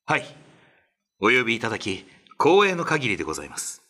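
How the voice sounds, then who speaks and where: A man's voice: medium pitch, huge pitch swings, brisk, pushed and bright, full character voice. A man acting a dutiful, self-assured prince in a radio drama, composed and formal in manner. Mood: respectful.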